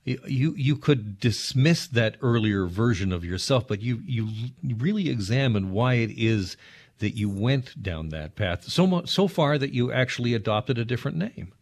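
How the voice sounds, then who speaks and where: A man hosting an interview, putting considered, attentive questions to a guest in a measured, conversational way. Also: medium pitch, lively intonation. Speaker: interviewer, host.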